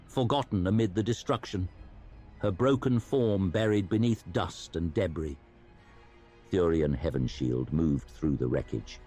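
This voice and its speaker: Deep-voiced man, solemn dramatic narration. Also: mellow. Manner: solemn, measured, theatrical. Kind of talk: dramatic scripted story narration. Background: music under the voice.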